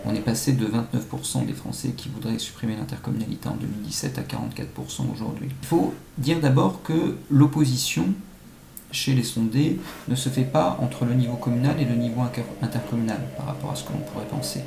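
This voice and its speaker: A man giving measured, analytical answers as an academic expert being interviewed, with some halting phrasing. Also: mid-range voice. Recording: echoey room, faint-to-clear background noise.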